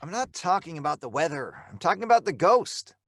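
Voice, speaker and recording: A boyish, eager son in a radio play. The voice is very high for a male and recorded close and dry, with a methodical delivery. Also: sing-song, brisk, dry recording. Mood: impatient. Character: eager, youthful son, methodical ghost watcher. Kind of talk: old-time radio drama acting. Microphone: microphone on a video call.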